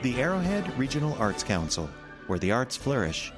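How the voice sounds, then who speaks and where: A man reading a sponsor announcement in a smooth announcer delivery. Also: mid-range voice, sing-song, normal speed. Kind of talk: sponsor spot read. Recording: music bed behind.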